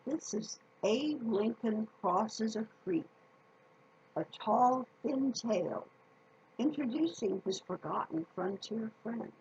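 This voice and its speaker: A woman reading a children's story aloud in a folksy, deliberate manner, speaking directly to her listeners. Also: a little high, lively intonation, darkish tone, husky, lo-fi sound.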